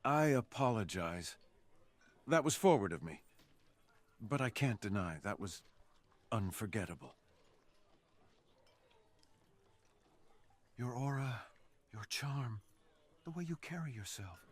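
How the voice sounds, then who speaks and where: A man voicing a brooding, seductive fox assassin in an intimate ASMR roleplay, speaking slowly and earnestly with dramatic pauses. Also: lowish voice, big pitch swings, dry recording. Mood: smitten, flirtatious. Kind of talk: ASMR roleplay, slow intimate scripted monologue.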